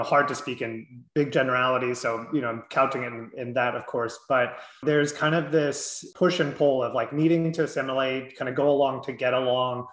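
A man's voice: mid-range voice, a bit bright. Man, 30s, clinician guest, conversational and reflective, echoey room. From Southern California. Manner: reflective, conversational, frequent "you know". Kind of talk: interview answers.

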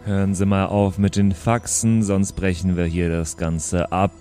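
A man's voice: deep voice, flat delivery, a little slow, warm tone, soft background music. A man playing a curt, pedantic old bureaucrat of heaven with weary, clipped official phrasing in an improvised audio play. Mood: grumbling, officious.

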